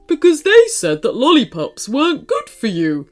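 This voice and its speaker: Woman as storybook raven, indignant, emphatic, high-pitched, very animated swoops, faint music behind. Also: moderate pace. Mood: indignant, warning. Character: talking raven, indignant, scolding wild bird.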